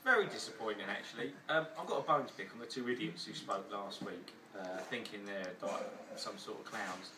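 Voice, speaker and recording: A man's voice: fairly low pitch, brisk, distant mic, noisy background. A young English man, a football player, complaining bluntly about teammates in a dry, sweary way. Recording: roomy, from off mic, voices around.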